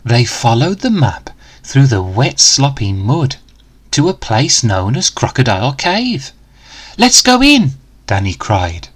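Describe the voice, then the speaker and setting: A man telling a children's story in rhyme. He takes it slowly and deliberately, with very animated swings in pitch and a dramatic, expressive delivery. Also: slightly rough. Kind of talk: rhyming picture-book read-aloud.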